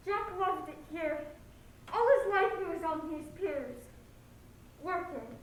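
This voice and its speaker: A woman's voice: extremely high pitch, lively intonation, slow, heavily acted. A young woman acting a grieving, determined detective's secretary in a school stage play, with plain-spoken noir dialogue. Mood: wary, sad. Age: teenager. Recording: very echoey stage, faint noise.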